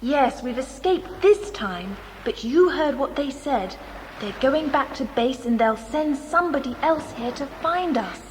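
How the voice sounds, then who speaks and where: A sensible British schoolgirl speaks in a high voice. The children's adventure drama carries long reverb and faint noise. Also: expressive, a little slow. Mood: concerned, steady.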